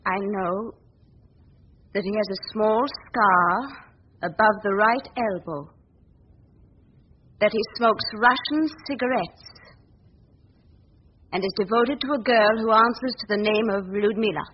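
An actress playing an earnest, anxious young woman journalist in a 1940s radio drama, speaking gravely and dramatically. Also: medium pitch, slow pace, long pauses. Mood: determined. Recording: muffled old 1940s radio recording.

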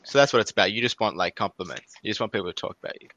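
A man's voice: on the low side, very fast, a bit bright, no echo, muffled recording. A young Australian man with an Australian accent, co-hosting a podcast in a laid-back casual chat and laughing easily. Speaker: podcast co-host. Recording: faint background hiss.

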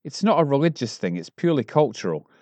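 A man reading newspaper articles aloud as a volunteer in a measured way, recorded at home. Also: medium pitch, big pitch swings, darkish tone, no room sound. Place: at home, close and dry.

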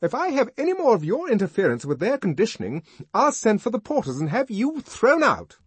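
Bossy head nurse, loud and scolding, as a male narrator puts her on. Husky, dry. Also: on the high side, huge pitch swings, a little slow, no echo, pitched above the performer's own voice, heavily acted. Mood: fierce. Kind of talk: audiobook reading.

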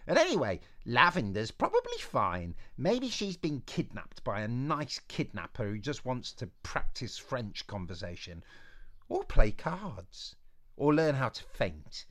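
A man's voice: mid-range voice, wildly swooping pitch, measured pace, fairly bright tone, voice pushed up, full character voice. A man reading aloud and voicing a plucky, chatty young girl in a raised voice. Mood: reassuring.

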